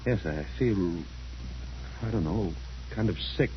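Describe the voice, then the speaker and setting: An expressive well-off middle-aged husband. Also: male voice, sits low, slow pace. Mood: stunned, dejected. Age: 40s. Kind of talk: old-time radio drama acting. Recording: muffled, hissy old radio recording.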